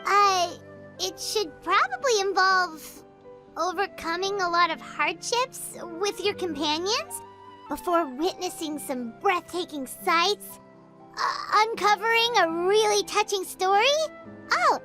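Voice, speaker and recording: A tiny female fairy sidekick from a video game, squeaky, childlike and extremely high. The delivery is bubbly and excitable, swooping up and down over music. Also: slow, heavily acted. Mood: curious. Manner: bubbly, exclamatory.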